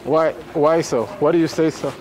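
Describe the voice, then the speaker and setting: A man interviewing a musician on the street in a relaxed, curious manner. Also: a little high, moderate pace, a little hiss or hum, rough recording. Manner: curious, laid-back questioning. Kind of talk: street interview, casual chat. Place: city street outdoors.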